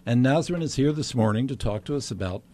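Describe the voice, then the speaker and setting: A man hosting a local talk show, friendly and a little halting, often repeating words as he starts a sentence. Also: mid-range voice, animated, darkish tone. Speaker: host.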